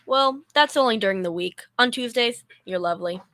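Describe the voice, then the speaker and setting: Bright-voiced, sarcastic young roommate played by a woman. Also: on the high side, fairly quick. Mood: dry, sarcastic. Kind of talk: 1940s radio comedy acting.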